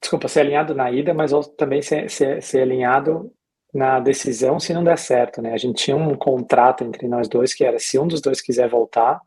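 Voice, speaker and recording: A middle-aged man with a Brazilian Portuguese accent, speaking as an interview guest in a casual, reflective way, pausing and repeating himself as he recounts his experience. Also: mid-range voice, steady tone, a little mellow, breathy edge. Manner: reflective, hesitant with pauses and repetitions. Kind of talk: casual conversational storytelling.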